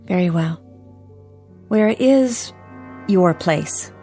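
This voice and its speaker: An actress playing a gentle, naive female humanoid robot, speaking politely and earnestly in a science fiction audio drama. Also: lowish voice, expressive, drawn-out words, faint music behind, full character voice. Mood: curious. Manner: polite, earnest, inquisitive, simply phrased.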